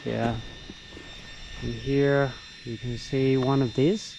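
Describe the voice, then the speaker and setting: Slow-talking man guiding an outdoor walk. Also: medium pitch, flat delivery, creaky, noisy background. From Vietnam. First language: Vietnamese. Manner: unhurried, halting, with frequent fillers. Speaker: local tour guide. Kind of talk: casual walking vlog narration. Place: outdoors on countryside trails.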